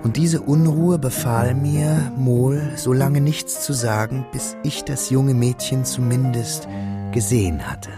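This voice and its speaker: A man playing a shy, earnest young Frenchman who narrates his memories in a reflective, measured, literary radio drama style. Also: medium pitch, over loud music, natural voice. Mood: dreamy, reflective. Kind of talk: scripted first-person radio drama narration.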